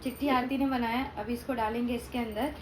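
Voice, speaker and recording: A woman's voice: fairly high pitch, steady tone, brisk. A young woman talking informally and playfully, as in a casual family vlog with prank calls. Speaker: family vlogger. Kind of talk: casual chat and prank calling. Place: at home, indoors. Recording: noisy home phone recording.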